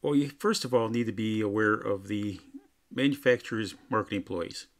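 Man, low voice, gravelly, matter-of-fact product review narration. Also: fairly quick. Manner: plain, matter-of-fact explaining. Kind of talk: home product test voice-over.

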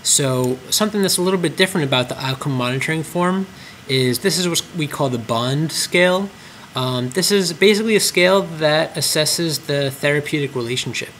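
A man's voice: fairly high pitch, brisk, a bit bright, a little hiss or hum. A man walking through clinic tracking forms on screen, explaining step by step with frequent ums and uhs. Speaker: clinic trainer. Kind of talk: screen-recorded software tutorial.

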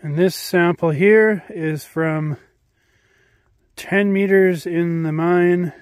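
A man with a slightly high voice gives a calm, matter-of-fact field commentary outdoors, listing minerals in each rock he shows. The sound is dry and close, as if from a handheld camera. He talks in a plain, casual tone. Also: slow, no room sound. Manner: matter-of-fact, names minerals while showing rock samples. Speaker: mineral exploration vlogger. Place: outdoors at a snowy abandoned mine site.

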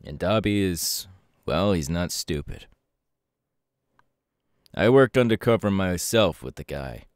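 A man playing a serious pro hero mentor in an ASMR audio roleplay, giving earnest, measured instructions to a protégé. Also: low voice, lively intonation, no echo, clean studio sound.

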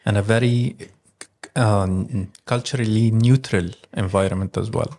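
A man's voice: lowish voice, steady tone, measured pace, darkish tone. A man interviewing a guest, chiming in with short encouraging remarks. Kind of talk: casual chat.

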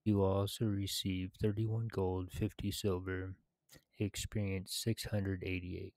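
Low-pitched, slow, even-toned man reading aloud. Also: no room sound. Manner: deliberate, measured phrasing with short pauses. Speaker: video game player reading quests aloud. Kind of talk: scripted read-aloud with casual gameplay narration.

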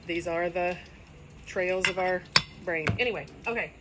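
A woman's voice: on the low side, measured pace, very noisy. A middle-aged woman, rambling chattily through a casual cooking demo while sitting in a kiddie pool outdoors, recorded on a phone camera. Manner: chatty, rambling, folksy asides. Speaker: cooking vlogger. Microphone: phone camera on a table.

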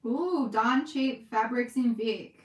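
A young Australian woman chatting casually on a livestream from her sewing room, reading comments, talking fast and laughing often. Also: on the high side, echoey room, muffled recording. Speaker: livestream host, scrunchie maker. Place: home sewing room, indoors.

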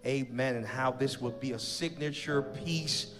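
A middle-aged American man, a pastor addressing his congregation with drawn-out, emphatic exhortation. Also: mid-range voice, fairly even intonation, over music. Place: large church sanctuary. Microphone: handheld or podium microphone.

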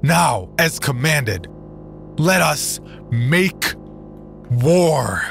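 A man voicing a fiery frontier preacher who rants and booms scripture at a crowd. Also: slow pace, faint music behind, lightly acted. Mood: zealous. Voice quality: booming, ranting. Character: fiery fire-and-brimstone male preacher.

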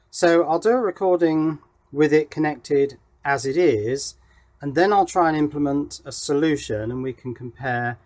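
A man presenting an electronics project in casual, explanatory talk, thinking aloud as he demonstrates at an indoor workbench. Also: fairly high pitch.

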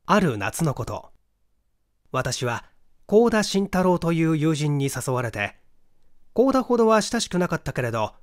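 Expressive man reading a mystery novel. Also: on the high side, no room sound, studio quality. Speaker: audiobook reader, stage actor. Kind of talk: literary mystery novel reading, scripted narration.

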